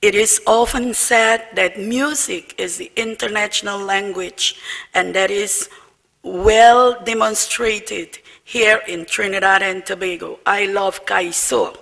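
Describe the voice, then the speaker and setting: A man with a Dutch accent, a diplomat giving a formal after-dinner speech and toast, measured and with asides to the audience. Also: sits high, steady tone, vocal fry, sharp tone. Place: large banquet hall. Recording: long hall reverb, faint music.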